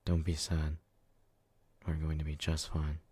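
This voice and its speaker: A man's voice: a bass voice, completely flat delivery, a little slow, dark tone, slightly rough, no echo, exaggerated voice. A man playing a devoted, brooding, hot-headed but tender yandere in an ASMR roleplay, speaking with earnest intensity. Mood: resigned tenderness.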